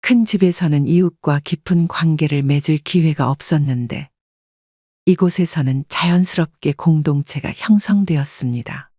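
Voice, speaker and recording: A woman calmly narrating a first-person life story. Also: low voice, normal speed, no echo, clean studio sound.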